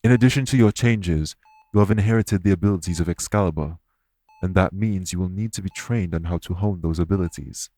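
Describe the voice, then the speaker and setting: Audio roleplay lead: male actor as dignified ex-king and fae minister, low register, formal, reassuring delivery. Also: a little mellow, dry recording. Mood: earnest, apologetic. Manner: formal, measured, reassuring phrasing. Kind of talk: audio roleplay spoken to the listener.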